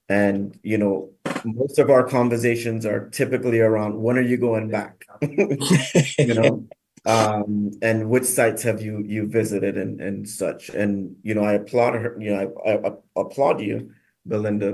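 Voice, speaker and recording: A man from the United States giving interview answers as a college staff member, in a halting way with many pauses and repeats. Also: on the low side, darkish tone. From United States, South Florida. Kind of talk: hesitant conversational interview answers.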